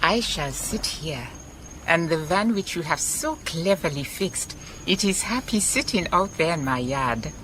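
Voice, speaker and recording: A wise, self-assured lady detective from a radio drama, with a Southern African accent. Her voice is very low and she takes her time over each phrase, warm and emphatic. There is clear background noise with chirping insects. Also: lively intonation, low-quality recording. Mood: contented, warm. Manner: unhurried, measured, emphatic repetition.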